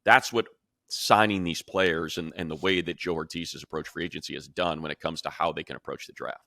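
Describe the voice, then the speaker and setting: A man with a very low but bright, forceful voice talks sports analysis as a show host. He sounds emphatic and conversational, working through his reasoning aloud. The sound is close and dry. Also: fairly even intonation, a little fast, gravelly, no room sound.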